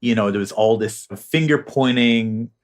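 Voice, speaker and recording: A man's voice: medium pitch, measured pace. A man from Israel hosting an interview, asking questions in a thoughtful, searching way. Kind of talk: conversational interview.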